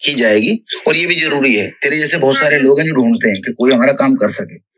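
A man's voice: mid-range voice, a little fast, fairly bright tone. A man speaking as a guru in an informal phone conversation, paternal, reassuring and insistent. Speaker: tantric guru. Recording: noisy recorded phone call, clicks.

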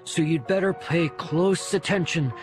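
Man voicing an excitable, high-pitched young boy. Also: measured pace, close to an everyday voice. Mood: eerie, commanding. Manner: dramatic, urgent. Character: excitable, bossy, easily spooked young boy. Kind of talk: audiobook character voice. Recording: music behind the voice.

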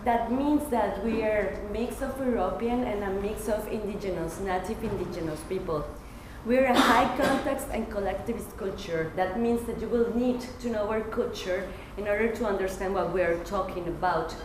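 A woman's voice: medium pitch, moderate pace, few pauses. A young woman from Mexico giving an earnest class presentation at a lectern, telling anecdotes with light humor. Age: young adult. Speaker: student presenter. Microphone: far camera. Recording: echoey classroom with hiss.

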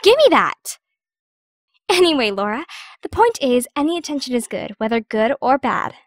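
A woman's voice: high-pitched, big pitch swings, normal speed, no echo, studio quality. A woman playing a bossy, vain, manipulative teenage girl giving lessons in an audio drama.

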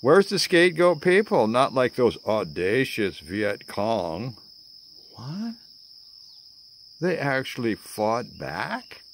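An American man in his sixties delivers a spoken-word rant at an unhurried, drawn-out pace. His manner is theatrical, full of dramatic pauses and asides, in a medium-pitched voice. It is recorded outdoors, with a faint bed of insect chirps and ambience. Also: sing-song, slight background noise. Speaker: vlogger. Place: outdoors among greenery.

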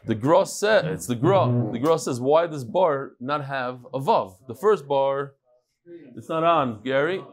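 A middle-aged man teaching a daily Talmud class, reading emails aloud with lively asides to the room and Hebrew and Yiddish terms. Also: mid-range voice, a little slow. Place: large study hall with wood paneling.

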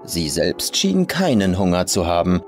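A man reading a scripted horror story with atmospheric, deliberate delivery. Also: deep voice, very animated. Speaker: professional voice actor, audiobook reader. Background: atmospheric music.

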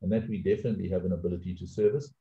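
A man's voice: low voice, fairly even intonation, very fast, mellow. A middle-aged man with a South African accent, speaking emphatically on a webinar panel with frequent restarts, over a video call. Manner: emphatic, repeats words while restarting. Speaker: outsourcing panelist. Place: home office, video call. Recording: echoey room, dull lo-fi call audio.

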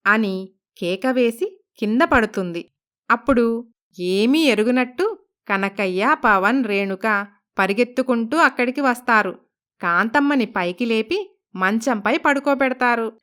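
A woman narrates a scripted moral story. Her voice sits fairly high and sounds smooth and clean. She tells events as a storyteller would, in scripted sentences. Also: expressive, a little slow, pauses often, fairly bright tone.

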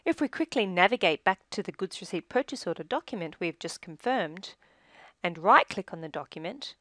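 A woman reading scripted software tutorial narration in a measured, instructional manner, recorded as a close-miked voice-over. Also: fairly low pitch, animated, dry recording.

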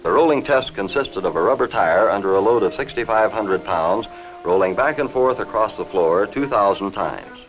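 Low-voiced American 1950s newsreel narrator, man. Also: animated, faint music behind, poor audio. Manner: formal, measured, factual. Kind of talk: 1950s scripted industrial film narration. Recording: vintage 1950s film soundtrack.

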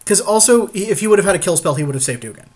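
A man's voice: high voice, lively intonation, racing, a little mellow. A man co-hosting casual gameplay commentary in an agreeable, conversational way. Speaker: podcast co-host playing a card game.

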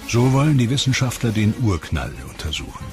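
A man giving calm, measured, scripted narration for a science documentary. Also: mid-range voice. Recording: music bed under voice.

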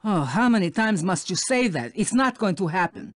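An actress voicing a scheming spider countess in a video game, theatrical and condescending. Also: female voice, mid-range voice, lively intonation, normal speed, dry recording, clean studio sound, natural voice. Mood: smug, coaxing.

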